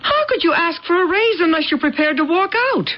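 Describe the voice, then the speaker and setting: Impatient, sharp-tongued wife in old radio drama; swooping delivery, muffled sound. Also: female voice, extremely high pitch, expressive, brisk. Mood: nagging, sarcastic. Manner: biting, impatient. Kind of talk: early 1980s radio drama acting.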